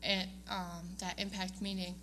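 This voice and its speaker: A woman's voice: fairly low pitch, level tone, measured pace, fairly bright tone, a little hiss or hum. A young woman giving a casual, hesitant speech to her church congregation, with frequent ums and small laughs. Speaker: student giving award speech. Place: church sanctuary.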